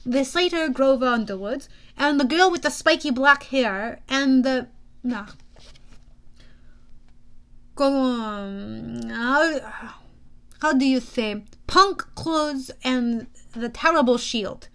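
A woman voicing a cruel, fawning male henchman with a French accent and a halting, sneering delivery. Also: high voice, fairly bright tone, full character voice. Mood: eager, halting.

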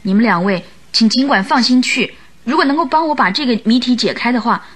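Polite, higher-voiced young lady. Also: animated, a little fast. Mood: polite, grateful. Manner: polite, deferential. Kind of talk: radio drama acting.

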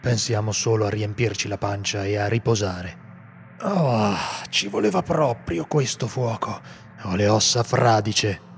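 Un uomo interpreta un cacciatore severo e inflessibile, dalla voce graffiante e profonda. Parla in tono grave, con un leggero rumore d'ambiente sullo sfondo. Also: male voice, lowish voice, unhurried, gravelly, a little hiss or hum. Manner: grave, firm. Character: stern, law-bound male monster hunter. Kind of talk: Italian radio drama acting.

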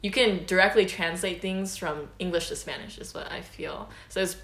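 A teenage girl from the US, co-hosting a podcast in a relaxed, chatty way with lots of "like" and "um". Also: fairly low pitch, normal speed, echoey room. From United States. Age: teens. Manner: relaxed, chatty, many fillers like "like" and "um". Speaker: podcast host. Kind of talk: casual chat.

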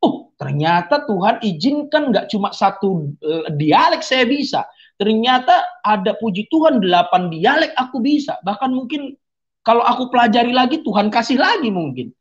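A man's voice: very high-pitched, moderate pace. Man preaching in casual testimony. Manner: preachy, animated, punctuates with rhetorical tags. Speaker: podcast guest giving testimony. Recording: dull lo-fi sound.

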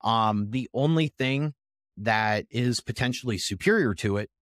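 A man around thirty speaking as a podcast guest, explaining research in a measured, conversational way with pauses between phrases. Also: medium pitch, no room sound, studio quality. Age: 30s.

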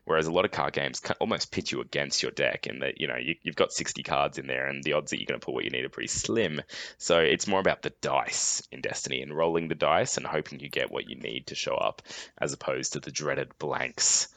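Man, low gravelly voice with a croak, easygoing co-host who fills gaps with "uh". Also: fairly quick, no room sound. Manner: relaxed, self-deprecating, frequent "uh" fillers. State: slightly croaky voice. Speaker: podcast co-host. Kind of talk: casual chat.

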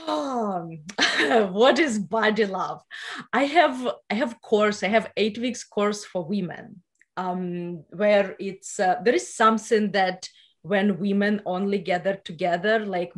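A woman in her thirties speaks with a Ukrainian accent. She talks slowly and eagerly, laughing often and filling pauses with ums. Her pitch sits mid-range for a woman. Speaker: guest, sex educator and coach. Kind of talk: casual podcast interview.

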